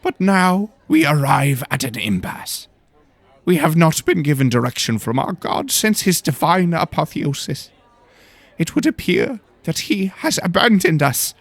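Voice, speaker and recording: A man's voice: on the high side, very animated, unhurried, no room sound, voice pushed up, full character voice. A man voicing a pompous governor giving a grand, declamatory speech to nobles in a fantasy audio drama. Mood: grand, fervent. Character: pompous male governor addressing his noble guests.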